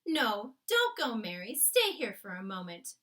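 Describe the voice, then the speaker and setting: A woman's voice: fairly high pitch, wildly swooping pitch, a little slow, sharp tone, exaggerated voice. A woman voicing a genteel, self-controlled queen who is easily horrified, with exclamatory old-fashioned phrasing, in a storybook reading. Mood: shaken, earnest.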